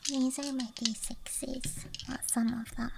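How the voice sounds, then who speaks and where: Young female ASMR streamer, high soft voice, unhurried whispery rambling, playful, close on the mic. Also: little pitch movement. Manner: soft, playful, musing aloud. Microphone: close ASMR microphone. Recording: background noise, ambience with clicks. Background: night campground ambience, clicking of small objects.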